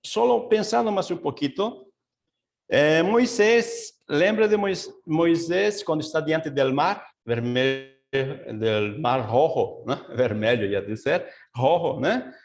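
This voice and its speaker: Slow, gravelly man teaching a Bible study. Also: a little high, expressive. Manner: mixes Portuguese and Spanish, slow and searching, many fillers and asides. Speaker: pastor. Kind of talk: Bible study teaching over video call. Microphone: video call.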